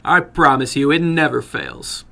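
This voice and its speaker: Casting: a man playing a cocky nineteen-year-old American lad in a short story, forceful, casual, slangy delivery with some gravel. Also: medium pitch, sing-song, unhurried, exaggerated voice. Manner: loud, breezy, slangy. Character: boastful, casual American boy of about nineteen.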